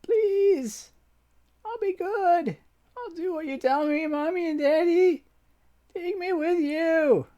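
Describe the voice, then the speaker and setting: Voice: animated, measured pace, creaky, a little mellow, highs cut off, heavily acted. A reader voicing a dying young woman who is delirious and pleads in a childlike, tearful voice. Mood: delirious pleading. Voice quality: high, tearful, calling out. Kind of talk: audiobook character voice.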